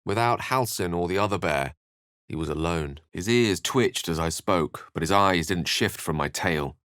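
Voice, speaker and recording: A man's voice: low voice, animated, moderate pace, a bit bright, smooth, no room sound, studio quality. A man voicing an eloquent, bookish wizard in a fantasy video game, wry and courteous, with articulate, dramatic phrasing. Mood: hushed, grave narration. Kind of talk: theatrical fantasy game dialogue and storybook-like narration.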